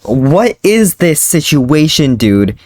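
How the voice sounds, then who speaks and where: A man's voice: a little high, very animated, slow, darkish tone, no echo, studio quality. A man doing a dramatic reading of his old fanfiction, breaking off into amused, self-mocking commentary and laughter.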